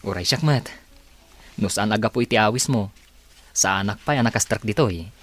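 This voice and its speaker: A man's voice: on the low side, fairly quick, fairly bright tone, faint music behind. An actor with a Filipino accent playing an attentive young man in a radio drama. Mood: friendly, tender. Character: attentive young man courting his friend.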